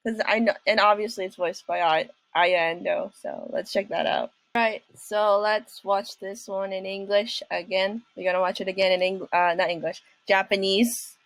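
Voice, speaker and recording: A woman hosting a reaction video with casual commentary, speaking haltingly and often repeating her phrases. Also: mid-range voice, fairly even intonation, no echo, rough recording. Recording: music behind the voice.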